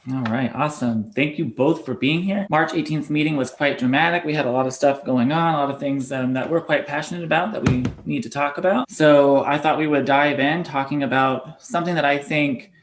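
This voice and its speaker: A man's voice: fairly high pitch, a little fast, few pauses, a little hiss or hum. A man hosting an activist panel, moderating the discussion and introducing speakers and questions.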